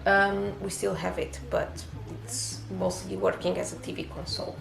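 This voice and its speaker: A woman's voice: medium pitch, level tone, normal speed, vocal fry, roomy sound, over music, poor audio. A woman from Portugal with a Portuguese accent, English not her first language, chatting casually in a home vlog with many fillers and restarts. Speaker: booktuber.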